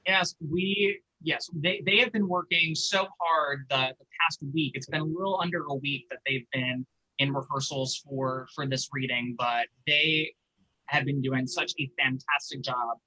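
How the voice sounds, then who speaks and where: A man speaks as the host of an event. His voice sits fairly high and has a very bright, sharp edge. He addresses the audience directly as he introduces things. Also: steady tone, measured pace, poor audio.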